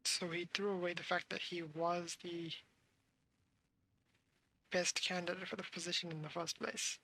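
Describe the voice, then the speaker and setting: A woman's voice: lowish voice, steady tone, moderate pace, sharp tone, low-quality recording. A woman streaming a game, giving casual commentary and musing aloud in an informal way. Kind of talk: casual live gameplay commentary.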